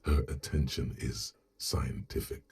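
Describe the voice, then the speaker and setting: A man voicing a detective's brooding inner thoughts in a video game, gravelly and dry, with music behind him. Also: rumbling low, fairly even intonation, measured pace, a little mellow, full character voice. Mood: dry, observing. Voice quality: gravelly, raspy. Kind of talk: dramatic game narration.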